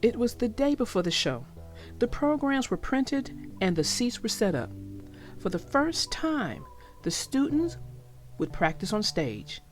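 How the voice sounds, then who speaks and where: A woman narrating a read-aloud story for young children with deliberate, emphatic phrasing. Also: on the high side, sing-song, soft background music.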